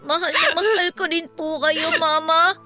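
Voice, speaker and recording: A woman's voice: very high-pitched, fairly even intonation, moderate pace, over music. A woman playing a polite, earnest young eldest daughter of about ten in a radio drama, anxious to please. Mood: earnest, loving.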